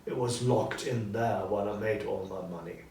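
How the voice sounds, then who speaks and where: A man's voice: lowish voice, darkish tone, close to an everyday voice. A man voicing the anguished, regretful ghost of a dead businessman in a storybook reading, with solemn, emphatic delivery. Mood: weary, restless. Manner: slow, solemn, emphatic declamation. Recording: roomy echo, distant mic, background noise.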